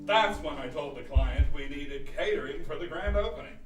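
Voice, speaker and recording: A high, melodramatic voice of a hapless businessman, acted by a man, lamenting in a radio ad skit. Music plays behind him in a roomy, distant stage recording. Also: lively intonation, normal speed, natural voice. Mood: despairing. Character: despairing, hapless failed business employee.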